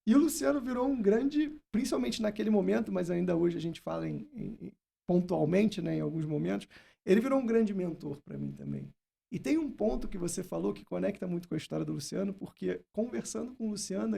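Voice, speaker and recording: A man's voice: high-pitched, animated, quick pace, creaky, warm tone, slightly rough. A Brazilian man hosting a podcast, telling stories and putting questions in a casual, reflective way. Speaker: podcast host. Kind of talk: casual conversational interview.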